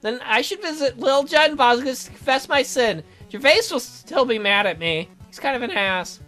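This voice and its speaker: A woman's voice: high voice, animated, normal speed, fairly bright tone, soft background music. A woman hosting a let's play, reading a visual novel aloud with playful, blunt, sweary casual commentary.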